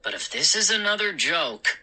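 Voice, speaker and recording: An exasperated father from an audiobook, as a woman reader puts him on. He sounds stern and snappish. The voice is very bright and forceful, with a lively rise and fall. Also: fairly low pitch, normal speed, exaggerated voice, rough recording. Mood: weary. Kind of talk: audiobook character dialogue.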